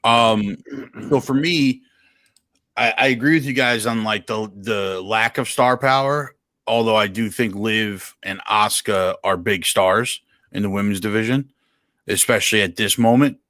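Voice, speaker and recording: A man's voice: fairly low pitch, unhurried, a bit bright, no room sound. A man giving blunt, slangy opinions in casual sports podcast conversation. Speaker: wrestling podcast panelist.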